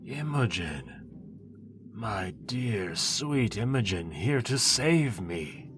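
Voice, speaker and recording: A man's voice: fairly low pitch, sing-song, drawn-out words, noisy background, exaggerated voice. A man voicing a proud sorcerer bound in a crypt, commanding and bargaining. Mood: aggrieved. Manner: imperious, bargaining. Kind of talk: audio drama acting. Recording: music underneath. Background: background music.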